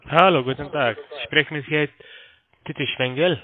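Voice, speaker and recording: A man's voice: a little high, moderate pace. Polite man on a tinny phone line. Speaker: call centre account agent. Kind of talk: phone sales call.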